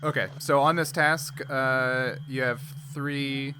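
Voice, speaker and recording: A man's voice: mid-range voice, steady tone, takes it slowly. A man acting as game master, casually explaining rulings to players and laughing easily. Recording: clearly noisy background.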